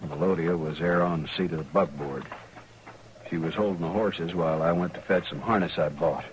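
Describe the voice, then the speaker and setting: An actor playing a hot-headed, vengeful farmer with a rural Western American accent, terse, in a radio western. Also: male voice, sits low, a little fast, lightly acted. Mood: worried, bitter. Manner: terse, threatening. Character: bitter, vengeful Old West farmer, hot-headed husband. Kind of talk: old-time radio western acting. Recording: faintly noisy old radio recording.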